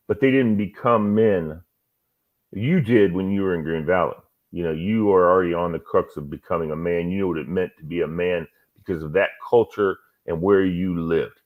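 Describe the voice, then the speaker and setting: A man hosting a talk show, asking questions in a casual chat and agreeing with his guest as he builds on the answers. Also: deep voice. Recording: tinny phone-like line.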